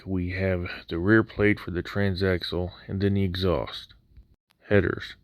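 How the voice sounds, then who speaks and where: Low-voiced male hobbyist, laid-back and wry, voice slightly worn, dry close-mic voice-over. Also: no room sound. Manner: casual, dry humor. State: recovering from near voice loss. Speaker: scale model builder. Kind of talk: voice-over unboxing walkthrough.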